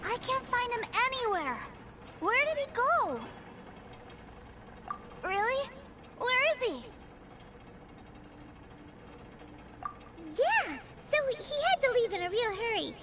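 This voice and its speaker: A woman voicing a small, excitable female companion creature in a game who refers to herself in the third person. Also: extremely high pitch, measured pace, long pauses, very noisy, full character voice. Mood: puzzled. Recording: game audio with loud music.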